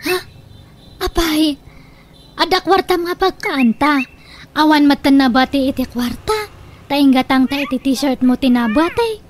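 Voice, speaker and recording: A woman's voice: high-pitched, lively intonation, unhurried, long pauses. A woman playing a lovestruck young daughter in a comedy radio drama, plaintive and coaxing, defiant with her mother. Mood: sweet, coaxing. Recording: faint background noise.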